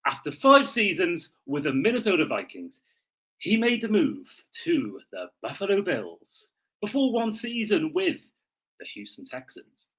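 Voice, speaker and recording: A man's voice: on the high side, huge pitch swings, unhurried, a bit bright, breathy edge, low-quality recording. A man from Wales, talking casually as a podcast host.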